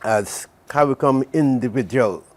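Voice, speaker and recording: An older man with a Vincentian accent, from St. Vincent, a community association president speaking warmly and graciously as an interview guest. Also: medium pitch, a little slow. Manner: warm, gracious, thankful.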